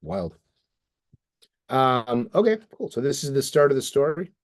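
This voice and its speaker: A man with a mid-pitched voice talks casually as a podcast co-host. He sounds like an animated, enthusiastic fan discussing comics in detail, with some mild swearing. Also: measured pace.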